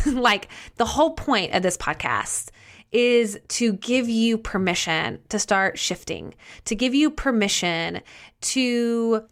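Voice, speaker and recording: A middle-aged woman hosts a solo podcast in an earnest, conversational way, slowing down to stress key phrases. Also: a little high, expressive, a bit bright. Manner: earnest, conversational, with many restarts and some slow, emphatic phrasing. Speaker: podcast host and life coach.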